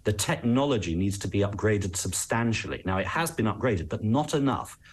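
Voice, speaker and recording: A man with an English accent and a low-pitched voice gives expert commentary in a news interview. He is articulate and earnest, setting out his points in a measured way. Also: animated, slightly rough. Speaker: travel expert, interview guest.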